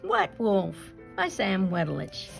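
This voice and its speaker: A woman reading a children's story aloud with expressive narration. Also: medium pitch, slow pace, creaky, faint music behind. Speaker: storybook reader.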